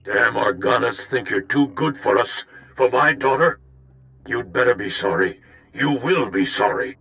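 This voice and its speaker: Gravelly, bitter noble father. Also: medium pitch, expressive, a little slow, pushed and bright, exaggerated voice. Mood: angry, threatening. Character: bitter, threatening parent of a jilted noble daughter. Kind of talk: video game voice acting.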